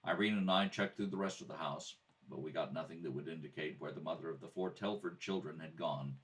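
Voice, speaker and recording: A man playing a calm, patient police detective in an old-style radio drama, matter-of-fact and deadpan. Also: very deep voice, a little fast, pitched below the performer's own voice. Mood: deadpan, factual. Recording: distant mic in a home kitchen.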